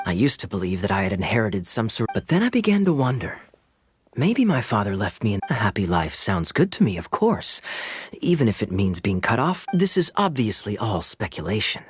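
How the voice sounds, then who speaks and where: An actor playing a suave young swordsman in a video game, smooth-talking, playful and sardonic, with secrets to keep. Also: on the low side, big pitch swings, fairly quick, faint music behind. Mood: musing.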